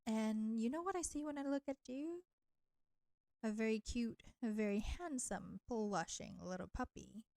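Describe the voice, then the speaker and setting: Casting: woman as flirty female vampire for intimate roleplay audio, teasing and nurturing, creaky vocal fry, very dry sound. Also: a little high, dark tone, dry recording. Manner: slow, teasing, coaxing, addressing listener with pet names.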